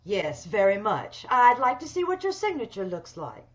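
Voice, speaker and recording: A woman playing a sinister, hideous schemer in an old-time radio horror play, speaking with measured, coolly polite menace. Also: mid-range voice, big pitch swings, lightly acted. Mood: controlled, calculating. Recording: dull video-call radio play recording.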